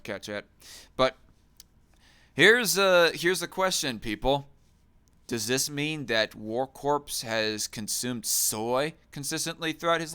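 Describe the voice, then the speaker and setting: A man's voice: medium pitch, sharp tone, clear, resonant tone. A man hosting a livestream, reacting to a clip and reading chat in a crude, mocking, argumentative way. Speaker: livestream host.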